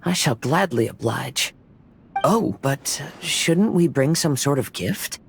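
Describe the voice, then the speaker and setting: A man voicing a calm, gentle young wandering samurai in a video game, soft-spoken and polite. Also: medium pitch, slow, vocal fry, a little hiss or hum.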